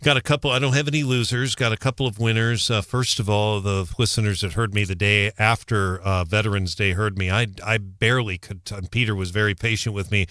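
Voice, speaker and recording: A middle-aged man from St. Louis with a lowish voice talks casually on a morning radio show. He rambles and restarts his sentences, recorded close and dry in a studio. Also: steady tone, fairly quick, few pauses, fairly bright tone, dry recording. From St. Louis, Missouri. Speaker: radio news reporter.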